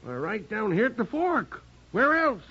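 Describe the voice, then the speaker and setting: An actor playing a grumbling, stubborn old gardener with a rural American accent in 1940s radio style. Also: male voice, sits high, very animated, measured pace, pushed and bright, slight background noise, full character voice. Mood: grumbling, distracted. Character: grumbling old rustic male gardener, stubbornly absent-minded. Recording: muffled old radio recording.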